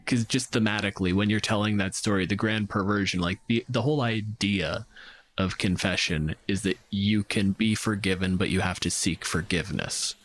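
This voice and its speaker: A man with a lowish voice talks in a casual panel discussion on tabletop games. He thinks aloud and works through each idea analytically. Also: normal speed, a bit bright, rough recording.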